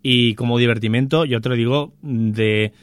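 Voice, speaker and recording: A man's voice: mid-range voice, steady tone, moderate pace, clear, resonant tone, no room sound, clean studio sound. A man chatting casually about a film he enjoyed, talking with enthusiasm and frequent restarts. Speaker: film reviewer on a radio show. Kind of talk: casual chat.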